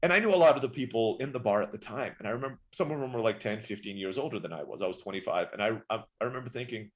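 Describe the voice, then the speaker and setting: A man in his forties, born in the Philippines and raised in Turkey, chatting quickly as a podcast guest telling his life story. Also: on the low side, expressive. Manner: rapid, chatty, often saying "right?". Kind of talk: casual interview storytelling.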